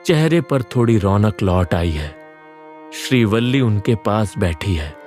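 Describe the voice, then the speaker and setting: Casting: male narrator for scripted tales, very low voice, unhurried delivery. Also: expressive, a little mellow, soft background music.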